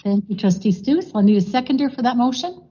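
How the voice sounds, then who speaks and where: Mellow husky woman chairing a meeting. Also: a little high, lively intonation, a little fast. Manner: procedural, many fillers and thank-yous. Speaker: board chair. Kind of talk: chairing a formal board meeting. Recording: dull lo-fi meeting recording.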